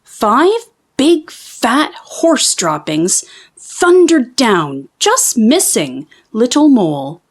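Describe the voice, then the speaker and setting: A woman reading a children's storybook aloud in an expressive, theatrical and deliberate storytelling manner. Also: on the high side. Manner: theatrical, deliberate storytelling with drawn-out sound words. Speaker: children's book read-aloud host.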